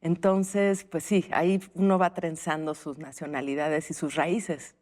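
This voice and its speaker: A woman's voice: deep voice, steady tone, a little slow, darkish tone. A woman with a Mexican accent answering interview questions in a reflective, informal, conversational way. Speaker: interview guest, playwright and stage creator.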